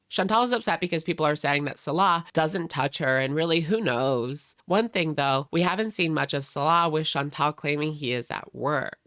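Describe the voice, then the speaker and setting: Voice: medium pitch, a bit bright, no echo, studio quality. An internet drama channel host giving casual gossip commentary and chatting directly to viewers.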